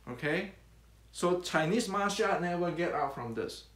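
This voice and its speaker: A man's voice: sits high, animated, a bit bright, roomy sound. An older man from Indonesia with an Indonesian accent, talking earnestly as a martial arts teacher, repeating points and stumbling over fillers and restarts. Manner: earnest, repetitive, halting with many fillers and restarts. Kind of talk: unscripted talk to camera.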